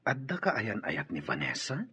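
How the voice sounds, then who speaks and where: Animated, husky young man, teasing. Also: on the low side, moderate pace, heavily acted. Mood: curious. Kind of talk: radio drama acting. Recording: dull, slightly echoey radio sound.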